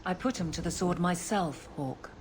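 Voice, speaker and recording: A woman's voice: on the low side, moderate pace, slight background noise, close to an everyday voice. An actress playing a dutiful, plain-spoken fantasy guardswoman and captain in a video game, firm in manner. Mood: somber, resolute.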